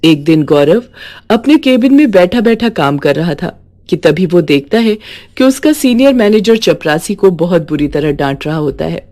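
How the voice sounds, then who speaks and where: A woman's voice: fairly low pitch, lively intonation, normal speed, dark tone. A woman reading a scripted moral story as a storyteller.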